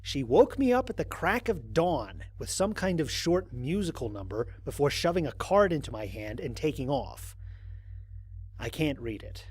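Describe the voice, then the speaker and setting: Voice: medium pitch, breathy edge. An actor playing a wry, exasperated astronaut stranded on an alien world, recording mission logs in an audio drama with dry sarcasm. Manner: sardonic, dry, expressive emphasis.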